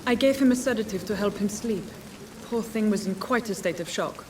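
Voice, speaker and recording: A woman playing a no-nonsense hospital nurse in a video game, with a brisk, formal, slightly curt manner. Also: medium pitch, background noise, lightly acted. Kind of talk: dramatic video game acting. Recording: slightly distant, music behind.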